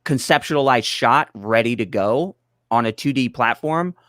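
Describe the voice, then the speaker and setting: A man's voice: medium pitch, measured pace, a bit bright, dry recording, clean studio sound. A man explaining things in casual chat, emphatic and rambling, often breaking off to restart his point. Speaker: project collaborator, guest.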